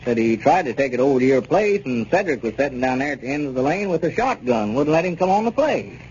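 A muffled, slightly noisy old radio recording of a sensible small-town neighbor played by a man. His mid-pitched voice moves in an animated way, plainspoken and folksy, in period comedy style. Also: quick pace, close to an everyday voice. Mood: neighborly. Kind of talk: 1930s radio comedy acting.

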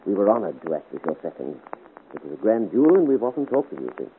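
An actor playing a hearty French musketeer in a radio adventure serial, brisk and declamatory. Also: male voice, lowish voice, very animated, phone-quality sound. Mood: hearty, comradely. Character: hearty, challenging 17th-century French musketeer soldier. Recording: scratchy 1950 radio serial, thin and noisy.

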